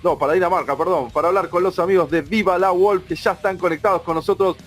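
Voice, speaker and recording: Fast-talking bilingual male radio host. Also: high voice, fairly even intonation, fairly bright tone, faint music behind. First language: Spanish. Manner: fast, playful, switching between Spanish and English. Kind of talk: casual radio interview chat.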